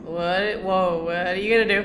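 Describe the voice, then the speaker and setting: A woman streamer reacting live to a game story, blurting out excited exclamations. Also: fairly low pitch, normal speed, noisy background.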